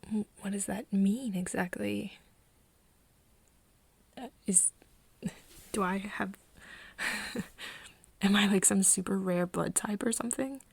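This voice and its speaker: A woman acts out a hesitant, tender young woman in an ASMR roleplay drama. Her breathy voice crackles with fry and often sinks to a whisper. She talks slowly and haltingly, as if to a close friend. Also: medium pitch, dry recording, full character voice. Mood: tentative, curious. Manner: halting, hesitant, with nervous giggles. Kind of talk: soft ASMR roleplay acting addressed to the listener.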